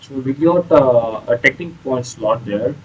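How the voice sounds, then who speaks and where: A man's voice: medium pitch, lively intonation, unhurried, lo-fi sound, a little hiss or hum. Hesitant man commenting on a game. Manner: hesitant, explanatory. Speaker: gaming video creator. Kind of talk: casual playthrough commentary.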